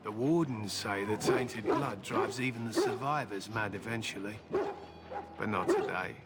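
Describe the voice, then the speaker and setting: An actor playing a grim, proud warrior who fights alongside hounds in a video game, speaking in solemn declarations. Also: male voice, lowish voice, a little slow, natural voice. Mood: grim resolve. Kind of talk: scripted video game character dialogue. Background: loud game music.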